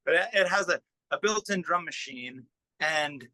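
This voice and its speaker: A man with a fairly high, notably bright voice, recorded dry and close to room-free. He talks casually as a musician being interviewed, pausing and working out his thoughts as he explains. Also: expressive, dry recording. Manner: halting, thinking aloud while explaining.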